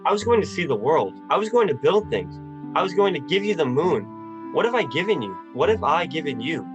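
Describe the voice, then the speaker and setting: Flustered folksy young husband guy acted, old radio drama, stammers, bit high, faint music under. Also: big pitch swings, brisk, highs cut off. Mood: dejected. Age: young adult. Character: earnest, flustered, hot-headed young small-town husband. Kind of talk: 1940s radio drama acting.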